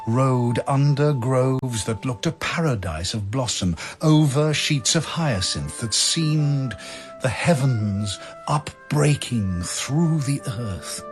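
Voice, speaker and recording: A man narrating a dramatic verse tale in a husky voice, as scripted storytelling. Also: mid-range voice. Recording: music behind the voice. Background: music.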